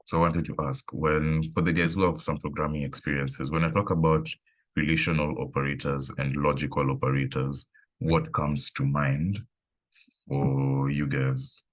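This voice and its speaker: Very deep-voiced man teaching code. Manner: relaxed, thinking aloud with pauses and fillers. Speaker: coding instructor. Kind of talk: live online coding lesson.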